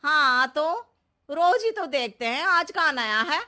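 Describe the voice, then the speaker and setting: A woman acts out a suspicious, sharp-tongued housewife from a comic cartoon. Her high, piercing voice swings up and down as she scolds and teases with pointed questions. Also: expressive, slow, full character voice. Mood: suspicious, indignant. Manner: scolding, teasing rhetorical questions.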